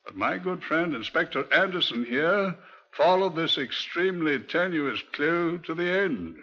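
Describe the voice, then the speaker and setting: An actor playing a genteel, proud British police museum custodian in a 1950s radio drama, formal and precise. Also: male voice, fairly high pitch, lively intonation, measured pace, bright, forceful tone, exaggerated voice. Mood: solemn, explanatory. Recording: dull old radio recording.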